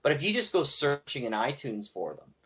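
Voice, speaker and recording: A man talking casually as a podcast guest, a teacher who tells stories in an animated way and acts out what people say. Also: mid-range voice, fairly quick. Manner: animated storyteller, acting out quoted remarks. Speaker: podcast guest, teacher and audio drama producer. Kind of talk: casual conversational interview talk.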